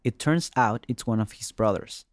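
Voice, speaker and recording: A man's voice: mid-range voice, moderate pace. A man giving scripted first-person narration as a podcast reporter. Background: music.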